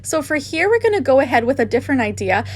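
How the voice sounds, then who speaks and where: A woman's voice: sits high, very animated, fast talker. A woman hosting a craft tutorial, narrating casually with gushing enthusiasm.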